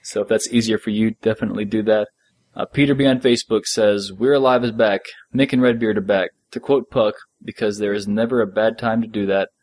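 Middle aged guy doing a podcast, kinda low voice, very dry sound, chill chatty style. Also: steady tone, brisk, a little mellow, dry recording. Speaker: podcast co-host. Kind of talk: casual podcast chat, reading listener messages.

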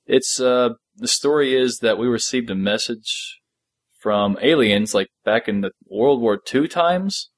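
A man's voice: medium pitch, unhurried, no echo. A middle-aged man chatting casually as a podcast co-host, laid-back and appreciative, sometimes reading out listener messages.

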